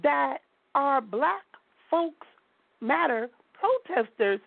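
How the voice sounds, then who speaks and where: A woman hosts a call-in show over a thin, tinny phone line, her voice sitting a little high and swinging widely in pitch. She is blunt and outspoken and laughs easily. The sound is dry and close. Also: sing-song, very slow, vocal fry, no echo.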